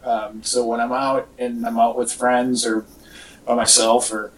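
A man's voice: medium pitch, monotone, measured pace. A man hosting a podcast in casual, rambling chat with a wry, joking manner. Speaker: podcast host. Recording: distant mic, echoey in parts.

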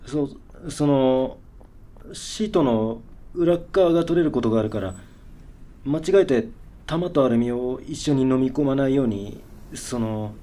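An actor playing a cocky, condescending self-styled veteran who talks in brusque hard-boiled slang in a radio drama. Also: male voice, medium pitch, a little slow, mellow, natural voice. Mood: flustered, eager. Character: cocky self-styled veteran antidepressant user talking like gunman. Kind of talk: Japanese radio drama dialogue.

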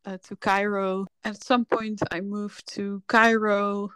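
A woman in her thirties from the Netherlands talks as a podcast guest, candid and reflective with soft laughs. Her voice is smooth and resonant, her pace slow and unhurried, and the sound is dry and close. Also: medium pitch, little pitch movement, no echo, poor audio. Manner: candid, reflective, laughs softly while telling her story. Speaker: filmmaker guest. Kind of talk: casual podcast conversation.

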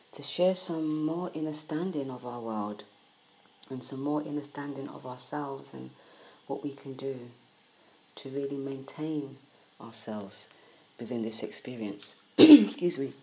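A woman with a croaky early-morning voice giving a soft, unhurried spiritual podcast talk, with many pauses and fillers. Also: extremely low pitch, slight background noise, low-quality recording.

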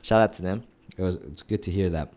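A young man with a fairly low voice talks loosely on a podcast. He rambles, restarts his thoughts and peppers every sentence with slang. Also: fairly quick, darkish tone. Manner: slangy, rambling, says "bro" and "dog" a lot. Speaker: podcast co-host. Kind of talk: casual chat.

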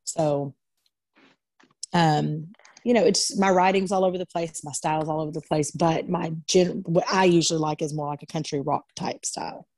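A woman from Arkansas, a country singer, talks loosely with plenty of fillers and restarts, her voice a little raspy after two nights of singing. Her pitch sits on the low side for a woman. The sound is close and dry, with no room around it. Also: fairly even intonation, normal speed, a little mellow, no echo. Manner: chatty, many fillers and restarts. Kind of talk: casual interview over video call. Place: in bed at home.

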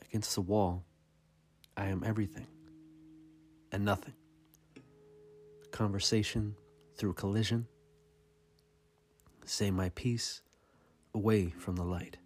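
A man giving a dramatic, scripted reading of poetry in a somber, measured and deliberate manner. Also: on the low side, fairly even intonation, darkish tone. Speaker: podcast host reading poetry.

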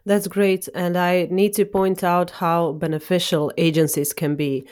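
A woman's voice: on the low side, level tone, unhurried. A woman hosting an interview podcast, encouraging her guest and asking questions. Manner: encouraging, asks questions warmly. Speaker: podcast host. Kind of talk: casual interview.

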